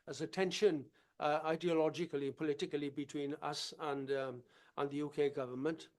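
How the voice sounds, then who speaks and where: A man from Wales speaks at a conference panel, answering questions. He restarts his phrases often, then settles into firm, direct points. His voice sits a bit higher than most men's. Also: fairly even intonation, a little fast.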